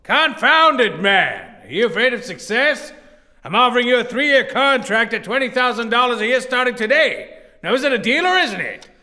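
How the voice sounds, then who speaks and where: Crafty old rich boss, radio play, highish sharp voice, bossy n blustery. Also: male voice, very animated, moderate pace, heavily acted. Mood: exasperated. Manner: wheedling, blustering, condescending.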